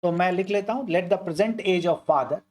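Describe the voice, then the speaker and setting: A man's voice: high voice, unhurried, a little mellow. A man teaching maths, walking through an age word problem step by step and asking and answering his own questions.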